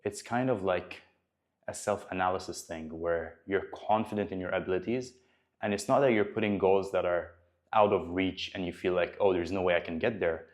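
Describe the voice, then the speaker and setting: A man's voice: sits low, moderate pace. A man in his twenties, a personal trainer, talking to the camera about his progress and his goals in an earnest, motivational way, often restarting his sentences. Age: 20s.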